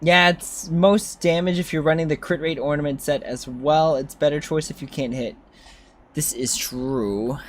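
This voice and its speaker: A man's voice: a little high, fairly even intonation, moderate pace, slight background noise. A young man giving casual livestream game commentary, thinking aloud as he plays and chatting with viewers. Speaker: game streamer.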